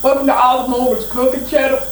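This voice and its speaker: A woman's voice: high-pitched, flat delivery, quick pace, distant mic, slight background noise, natural voice. A young woman playing a male outlaw cowboy in a jokey home cooking video, giggly and drawling "y'all" in her own everyday voice. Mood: playful. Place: home kitchen. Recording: roomy kitchen from off mic. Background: dishes and cutlery clatter.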